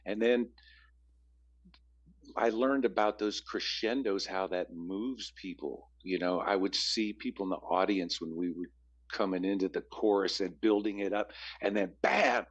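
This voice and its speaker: A man with a very low bass voice, answering interview questions as a musician guest, rambling with frequent restarts. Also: measured pace, breathy edge, highs cut off.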